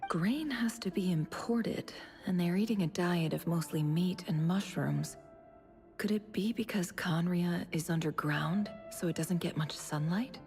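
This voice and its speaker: A calm female investigator thinks aloud in a fairly low voice. She sounds measured, observant and pensive, as in video game dialogue. Music plays softly behind her. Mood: analytical. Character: calm, thoughtful female investigator musing to herself.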